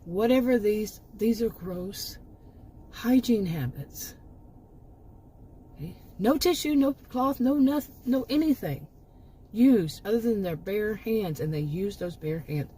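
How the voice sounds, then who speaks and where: A woman's voice: mid-range voice, animated, slow pace, warm tone, a little hiss or hum, rough recording. A middle-aged woman hosting her own channel, giving a rambling, emphatic opinion monologue with many restarts and asides while she is losing her voice. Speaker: commentary channel host.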